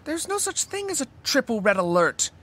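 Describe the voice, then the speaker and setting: A pedantic, skeptical geek acted by a man in a comedic audio drama. His voice is sharp and bright, leaping up and down as he sounds fussy and incredulous. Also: very high-pitched, sing-song, normal speed, slight background noise, heavily acted. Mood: exasperated, pedantic. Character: exasperated, pedantic sci-fi fan, reluctant skeptic.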